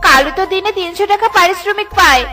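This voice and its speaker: A woman's voice: very high-pitched, fast talker, music in the background. A woman voicing a haughty, greedy crow wife who orders others around in a children's cartoon. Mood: scornful.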